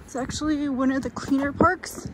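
A middle-aged woman chatting casually as she walks outdoors, speaking in a halting way that trails off, recorded on a handheld phone. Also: high-pitched, fairly even intonation. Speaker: vlogger. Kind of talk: casual walking-tour chat. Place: outdoors walking along roadside and hilly park. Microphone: handheld phone at arm's length. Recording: loud outdoor street and wind noise.

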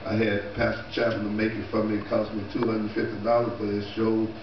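A middle-aged pastor talks in a loose, chatty way from behind a church podium, breaking into laughs and saying amen often. His voice carries through a roomy sanctuary and is picked up from far off. The sound is dull with a steady hum of room noise underneath. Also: on the low side, fast talker. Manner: chatty storytelling with laughs and repeated amens. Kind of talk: casual talk from the pulpit. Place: small church sanctuary, indoors. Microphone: podium mic at a distance. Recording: echoey church room, distant camera mic, dull.

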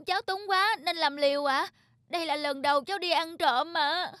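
Petty male cartoon thief, very high voice, bright, whining, cowardly, submissive. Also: moderate pace, no room sound, clean studio sound. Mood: frightened pleading. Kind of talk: cartoon voice acting.

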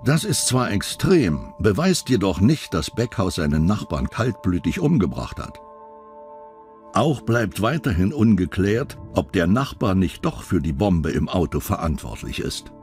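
A man's voice: low voice, big pitch swings, a little slow, darkish tone. A man narrating a scripted true-crime documentary as its narrator. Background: background music.

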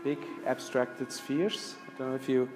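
A man in his fifties presenting slides in a lecture room, speaking with many restarts and fillers, trailing off softly at the ends of phrases. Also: mid-range voice, fairly even intonation, measured pace, over music. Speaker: architecture lecturer. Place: lecture room with projector screen.

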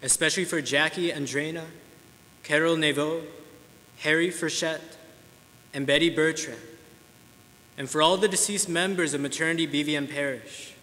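A man reading intercessions aloud as a lector, in a steady, formal way. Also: a little high, moderate pace, long pauses. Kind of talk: reading prayers of the faithful. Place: large church sanctuary. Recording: long church reverb, faint noise.

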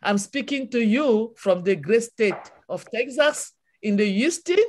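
Woman from Cameroon, French-accented English, slow deliberate delivery, slightly high voice, fervent repeated phrases, heard over a video call. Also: expressive. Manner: passionate, repetitive preaching cadence. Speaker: webinar speaker. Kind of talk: motivational testimony.

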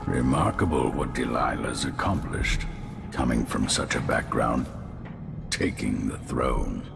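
An actor playing a weary elderly male mentor in a video game, measured and reflective, with a gravelly voice. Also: extremely low pitch, full character voice. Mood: reflective, wistful. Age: older. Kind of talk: dramatic video game acting. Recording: music under the voice.

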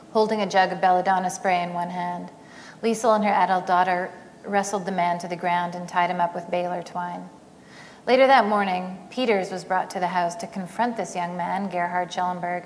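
A middle-aged woman reads a passage aloud in a measured, quiet way. Her voice sits on the low side. It carries a long reverb from a large hall, with a faint hiss underneath. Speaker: author reading. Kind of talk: reading aloud from a novel. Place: theater stage, seated in armchair.

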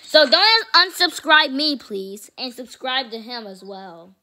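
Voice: high-pitched, big pitch swings, takes it slowly, pushed and bright, slight background noise. A child hosting a gaming video, chatting casually during play. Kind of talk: casual chat during Roblox gameplay.

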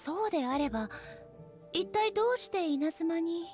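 An actress playing a composed, solemn shogun deity in an anime game, speaking formally and with measured, stately delivery. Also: female voice, very high-pitched, animated, darkish tone, faint music behind. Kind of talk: Japanese anime game voice acting.

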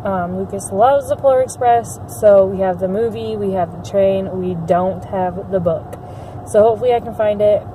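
A young woman chats to her followers in a casual vlog, filling her sentences with ums and quick asides. Her voice sits at an ordinary middle pitch. A faint hiss runs under the phone recording. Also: dark tone, poor audio. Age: young adult. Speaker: vlogger. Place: car and bookstore, later a home room at a webcam. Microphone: phone camera at arm's length, later a webcam.

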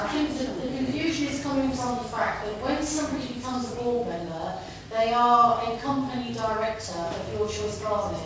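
Echoey woman answering at a meeting. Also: on the high side. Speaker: chief executive answering public questions. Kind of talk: formal spoken answer at a meeting. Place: large wood-panelled council meeting room. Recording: echoey, noisy meeting room, distant mic.

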